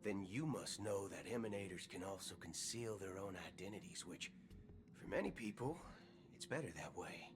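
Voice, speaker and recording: An actor playing a brash, folksy gunslinging galaxy ranger in a video game, with theatrical delivery. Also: male voice, low voice, expressive, moderate pace, music in the background. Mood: serious.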